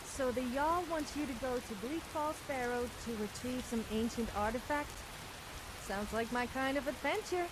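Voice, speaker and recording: A woman's voice: high-pitched, normal speed, background noise, lightly acted. A woman voicing a vain, playful young female adventurer companion in a video game. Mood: playful, boastful.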